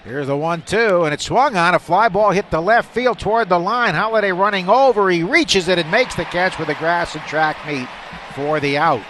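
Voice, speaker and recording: A man calling baseball live on radio, describing each play in detail and getting excited on the big ones. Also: high-pitched, normal speed. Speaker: play-by-play announcer. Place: stadium broadcast booth. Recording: faint stadium noise behind a broadcast.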